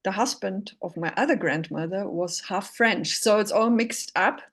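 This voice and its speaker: A woman's voice: mid-range voice, normal speed. A woman raised in Germany after a childhood in Poland, speaking reflectively as a musician guest on a remote call. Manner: reflective, thoughtful. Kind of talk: casual interview.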